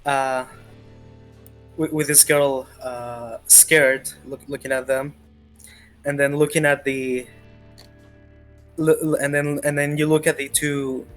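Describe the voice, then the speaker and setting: Male game master, slightly high voice, halting improvised narration, repeats himself, guitar music underneath. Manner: halting, repeats phrases while thinking.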